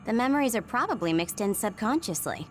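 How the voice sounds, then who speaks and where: An actress playing a thoughtful, serious young fighter in an anime game, measured and analytical. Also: female voice, mid-range voice, animated, faint music behind, close to an everyday voice. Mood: pensive. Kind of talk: dubbed anime game cutscene acting.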